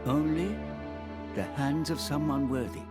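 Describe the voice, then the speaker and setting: An actor playing a solemn, wise old village guardian in a video game cutscene, with a husky voice. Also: male voice, fairly high pitch, steady tone, unhurried, over loud music, close to an everyday voice. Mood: grave, challenging.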